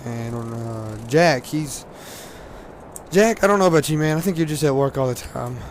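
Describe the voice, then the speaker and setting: Hoarse teen gamer, loose rambling delivery, faint noise floor. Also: male voice, mid-range voice, animated, normal speed. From Louisiana, US. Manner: rambling, laughs often, many fillers. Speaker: gaming commentator. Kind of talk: casual gaming commentary.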